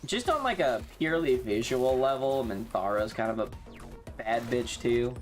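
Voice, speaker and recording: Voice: medium pitch, lively intonation, normal speed, faint music behind. A streamer with an androgynous-sounding voice, chatting casually during a live game in a slangy, sweary, self-mocking way.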